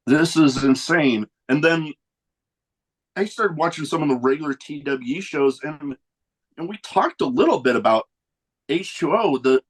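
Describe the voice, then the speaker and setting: A man hosts a casual podcast in an easy, rambling manner. His voice sits at an ordinary middle pitch, and he often trails off or restarts as he chats. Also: slightly rough, rough recording. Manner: relaxed, rambling, chuckles between thoughts. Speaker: podcast host. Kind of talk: casual chat.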